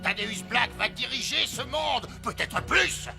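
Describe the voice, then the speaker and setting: Un comédien de doublage qui joue un magouilleur lâche, obséquieux et geignard de dessin animé, théâtral dans son jeu. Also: male voice, very high voice, lively intonation, a little slow, gravelly, music in the background, full character voice. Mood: smug, scheming. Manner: theatrical, sneering then pleading. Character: cowardly scheming male match-fixer, sycophantic and whiny. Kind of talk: animated series dub acting.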